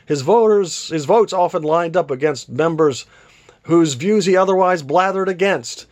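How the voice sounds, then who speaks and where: A man's voice: fairly high pitch, moderate pace, a little mellow. A man reading a literary story aloud as an author would, with a theatrical delivery. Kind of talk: literary read-aloud, scripted narration.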